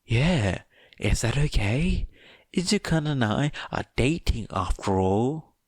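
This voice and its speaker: A man's voice: mid-range voice, sing-song, slow pace, no room sound, studio quality, voice pushed up. A man voicing a perky, cheeky teenage girl villain with an eager, casual manner, in a story reading. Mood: hopeful.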